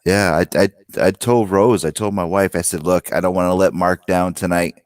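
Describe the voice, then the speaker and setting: A man with a slightly hoarse voice, co-hosting a casual talk show and telling stories in an animated way, acting out what was said. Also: low-pitched, moderate pace, no room sound, studio quality. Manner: animated storyteller, quotes himself and others. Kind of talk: casual banter.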